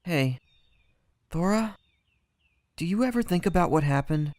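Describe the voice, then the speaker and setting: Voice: mid-range voice, animated, measured pace, darkish tone, no echo, natural voice. An actor playing an anxious, earnest young boy in a fantasy audio drama, hesitant and stammering. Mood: dazed, subdued.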